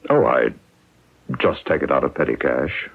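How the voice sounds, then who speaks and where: A man's voice: very deep voice, expressive, normal speed, creaky, breathy edge, heavily acted. An actor playing a hesitant, cagey US President in a radio drama, hemming as he bargains. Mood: bargaining. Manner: hesitant, hemming official talk. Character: hesitant, cagey older male US President making a deal. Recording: muffled, narrow-band old radio broadcast.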